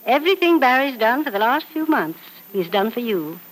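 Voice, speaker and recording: Wealthy elderly matriarch, frank and grandly fond, lively swooping delivery, old radio soap recording. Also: female voice, on the high side, normal speed, low-quality recording. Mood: emphatic praise. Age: older. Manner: emphatic, theatrical, grandly affectionate. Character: elderly wealthy mother, frank, doting, imperious. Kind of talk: 1940s radio soap opera acting.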